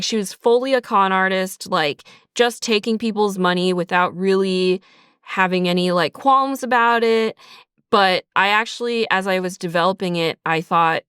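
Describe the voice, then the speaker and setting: A woman's voice: mid-range voice, fairly bright tone, smooth, no echo, clean studio sound. A woman speaking casually in an interview as a writer, talkative and thinking aloud, slipping into imagined lines as she explains. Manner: talkative, thinking aloud, acts out characters' thoughts in asides. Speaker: writer and creator of an audio drama, also voice actor. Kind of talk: casual behind-the-scenes interview.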